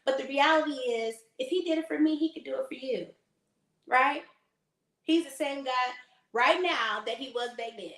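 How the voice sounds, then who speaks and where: Sassy, slightly hoarse woman preaching. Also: high voice, moderate pace, a bit bright, reverberant. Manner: sassy, emphatic, conversational. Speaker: faith talk host and lifestyle consultant. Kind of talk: casual preaching to a live audience.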